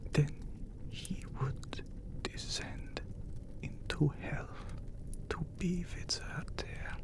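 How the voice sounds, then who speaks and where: A man playing an immortal, brooding vampire lord in an ASMR audio drama, with a breathy whisper and solemn, formal phrasing. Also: mid-range voice, full character voice. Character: handsome immortal vampire count, brooding, menacing lord of castle.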